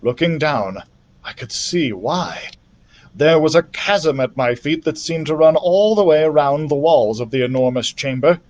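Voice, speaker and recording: A man reads a children's adventure book aloud in an expressive storytime style. His voice is a little higher than average for a man. He phrases the descriptions carefully, with a sense of drama. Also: highs cut off, a little hiss or hum. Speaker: library storytime reader. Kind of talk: expressive scripted storybook narration.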